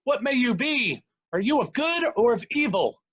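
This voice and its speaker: A man's voice: extremely high pitch, very animated, normal speed, a bit bright, husky, muffled recording, pitched above the performer's own voice, heavily acted. A man playing a scheming villain in a stage tragedy, declaiming urgently with feigned alarm and outrage. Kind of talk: Shakespeare recitation.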